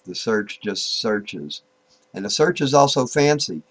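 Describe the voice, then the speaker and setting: A man with a fairly low voice walks through software in an informal, unscripted way. His delivery is very slow and halting. He thinks aloud and repeats himself as he works through each step. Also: lively intonation. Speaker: software tutorial presenter. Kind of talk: informal screen-recorded tutorial, unscripted walk-through.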